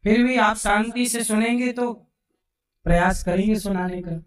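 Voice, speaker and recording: A hoarse man delivers a devotional sermon at a slow, deliberate pace, pausing to ask the crowd questions and then answering them himself. His voice sits back from the mic, carried by the echo of a large hall. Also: high-pitched. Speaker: Bhagavat katha preacher. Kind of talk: devotional sermon to a live gathering. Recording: roomy sound from a distant mic.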